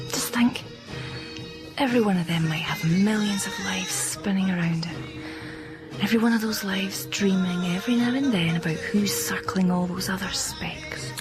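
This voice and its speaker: A woman's voice: mid-range voice, big pitch swings, unhurried. A woman playing a wistful, fearful mother in a radio drama, hesitant, moving between whispers and outbursts. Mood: dreamy, distracted. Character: wistful, frightened mother reliving her past. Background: music.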